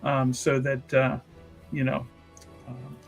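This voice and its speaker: A man's voice: mid-range voice, monotone, slow pace, creaky, faint music behind. A man playing a tabletop roleplaying game, improvising narration for his character in a casual, wry, conversational way.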